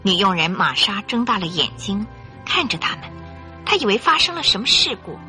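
Woman narrator, scripted radio storytelling, medium pitch, very expressive, suspenseful, light music behind. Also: normal speed.

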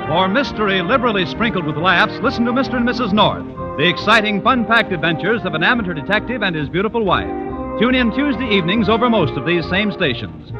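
A man's voice: high-pitched, very animated, fast talker. A man announcing a radio programme in 1940s style. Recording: dull old radio recording, loud music behind. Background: music.

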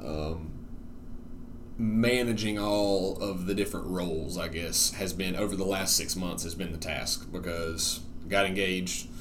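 Deep-voiced young man, relaxed and halting. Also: noisy background. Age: young adult. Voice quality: relatively deep voice. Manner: relaxed, halting, many ums and restarts. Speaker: church worship director and teacher. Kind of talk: casual podcast conversation.

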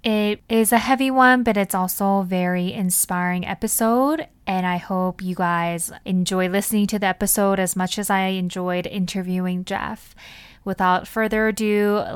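Female podcast host, smooth clean voice, conversational delivery, close dry sound. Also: mid-range voice, steady tone, normal speed, no echo.

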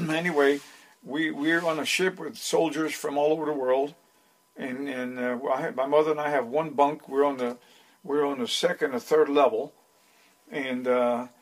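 A man's voice: medium pitch, low-quality recording. Older Dutch-born man telling stories. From Netherlands. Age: 80s. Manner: unhurried storyteller with frequent restarts. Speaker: guest. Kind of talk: storytelling interview.

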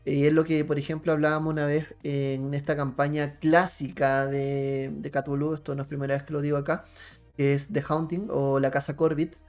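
A man's voice: on the high side, little pitch movement, moderate pace, darkish tone. Chilean man chatting casually, music behind. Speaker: panelist on a tabletop role-playing talk show. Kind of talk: casual conversation with anecdotes.

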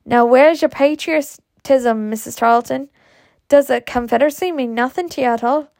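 A woman voicing a blustering, coaxing gentleman planter in a storybook, hearty and wheedling. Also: high-pitched, moderate pace, creaky, dry recording, studio quality. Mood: coaxing, goading.

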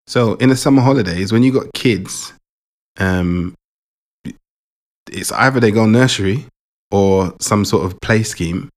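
A Jamaican-born man raised in England, hosting his own podcast in a relaxed, rambling way and often repeating words. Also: lowish voice, a little slow, pauses often. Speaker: podcast host. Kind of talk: casual personal monologue.

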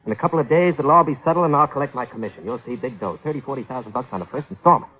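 An actor playing a smooth, boastful young stick-up man with slangy, wheedling patter, in 1940s radio crime drama style. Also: mid-range voice, animated, rapid-fire, a bit bright, rough recording. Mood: evasive, insistent.

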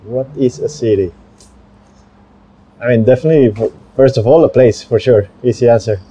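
A man's voice: mid-range voice, normal speed, mellow, breathy edge, poor audio. A man from Venezuela answering street interview questions in a hesitant, thoughtful way. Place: outdoor fair in a park.

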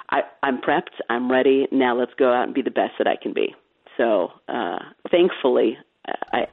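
Low-voiced woman sportscaster on a phone line. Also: husky, dry recording. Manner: laughs easily, frank, self-assured. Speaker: play-by-play sportscaster, guest. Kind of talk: candid interview answers. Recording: phone-like line, dry sound.

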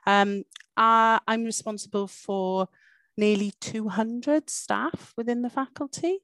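A middle-aged woman with a Welsh accent, answering interview questions as a university dean in a measured way with some hesitant fillers. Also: on the high side, fairly bright tone, no room sound. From Wales.

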